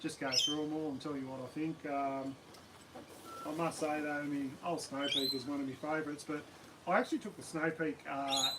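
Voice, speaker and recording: A man with an Australian accent and a slightly croaky voice, chatting casually and rambling on a live stream from his backyard. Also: on the high side, moderate pace, noisy background. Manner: rambling, relaxed, many ums and uhs. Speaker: camping channel host. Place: backyard outdoors.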